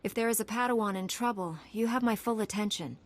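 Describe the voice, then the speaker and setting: An actress playing a composed, dutiful young hero knight in a video game, earnest in delivery. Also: female voice, mid-range voice, fast talker, lightly acted. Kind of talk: video game character dialogue.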